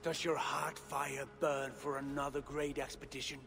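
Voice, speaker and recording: An actor playing an eager Viking brother in a game cutscene, rousing and keen for another voyage. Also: male voice, medium pitch, little pitch movement, moderate pace, gravelly, fairly bright tone, soft background music. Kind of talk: video game cutscene acting.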